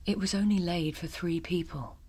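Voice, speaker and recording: An actress with a British accent playing a clever, polite young undercover secretary in a radio drama. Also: female voice, on the low side, steady tone, moderate pace, mellow, breathy edge, dry recording, faint music behind. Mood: hushed, conspiratorial.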